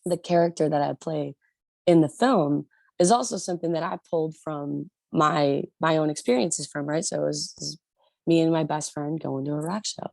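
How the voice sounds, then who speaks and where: A woman from the Detroit area, a rock singer with a low voice, chatting loosely in an interview with many restarts. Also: normal speed, creaky, a little mellow, no echo. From Detroit area, USA. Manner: rambling, many restarts and fillers. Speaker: rock band lead singer, guest. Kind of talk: casual interview chat.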